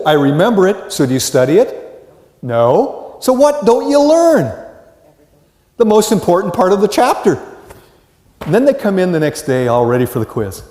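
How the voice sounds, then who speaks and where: An American man in his sixties or older giving a live lecture to students, telling stories and asking rhetorical questions. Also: high-pitched. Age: 60s or older. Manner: animated storytelling, rhetorical questions, acts out brief dialogues. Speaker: college psychology lecturer. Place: large lecture hall with reverb. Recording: echoey hall, reverberant live lecture.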